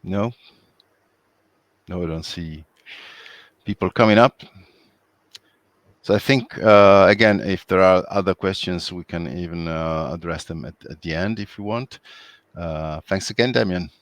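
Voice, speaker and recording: A man moderating an online webinar over a video call, talking in a hesitant, halting way with many fillers. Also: sits low, dry recording.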